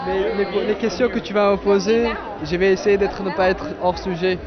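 A man's voice: high voice, steady tone, moderate pace, highs cut off, crowd noise. A young man who makes wine, answering carefully and earnestly, sometimes searching for words, at an outdoor festival. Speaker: young winemaker.

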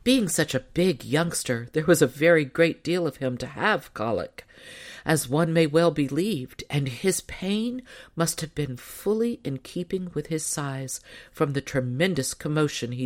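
Low-pitched woman reading a story. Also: darkish tone. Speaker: audiobook narrator. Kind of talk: scripted storybook narration.

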